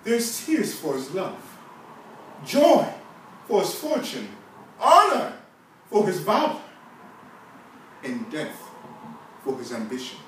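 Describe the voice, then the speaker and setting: A man performs a proud, solemn Roman nobleman in a classical stage monologue. His fairly high voice swells and drops widely with emphatic oratory. It rings through a very echoey room. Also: huge pitch swings, takes it slowly, pauses often, a bit bright, slight background noise, heavily acted. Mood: impassioned. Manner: declamatory, emphatic rhetorical delivery with big swells. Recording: very echoey room, distant microphone.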